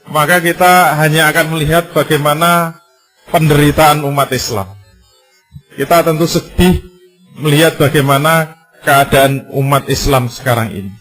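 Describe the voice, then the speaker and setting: A male Islamic preacher giving a sermon, full of rhetorical questions and emphatic repetition, lively and sometimes joking. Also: fairly high pitch. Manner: lively, conversational and jokey in places.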